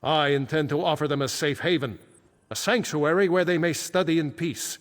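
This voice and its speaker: An actor playing a solemn ancient archmage back from death, speaking gravely and formally in fantasy video game dialogue. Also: male voice, a little high, moderate pace, close to an everyday voice. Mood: solemn, urgent. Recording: echoing game hall with long reverb.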